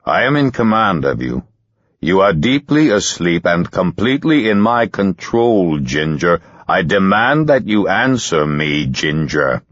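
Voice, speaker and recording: A man voicing a male hypnotist, giving soothing, slow, insistent commands. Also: a bass voice, animated, husky, no room sound, dull audio, heavily acted. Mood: stern.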